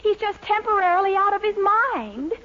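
A woman's voice: extremely high pitch, expressive, normal speed. A woman playing a devoted young woman who pleads for her sweetheart, in a 1940s radio comedy. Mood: pleading. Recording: dull old radio recording.

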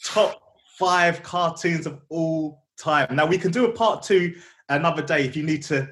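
A man's voice: fairly high pitch, moderate pace, a bit bright. A British man co-hosting a podcast with casual, teasing, slangy banter. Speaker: podcast co-host.

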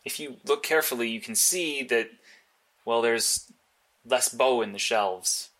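A blunt, analytical vigilante mastermind, played by a man in an audio drama. He speaks at a middling pitch in a clipped, matter-of-fact way, insistent as he lays things out. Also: measured pace, a bit bright, lightly acted. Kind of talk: naturalistic audio drama dialogue.

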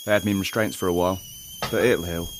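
A man playing a steady, protective resistance fighter in a naturalistic audio drama, understated and earnest. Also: sits low, fast, noisy background, lightly acted. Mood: serious.

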